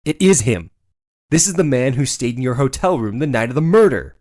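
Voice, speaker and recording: A man's voice: medium pitch, animated, fairly quick, a little mellow, lightly acted. A man voicing an eager young defense lawyer in a theatrical video game fan dub, dramatic, with wry, exasperated asides. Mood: triumphant, pressing.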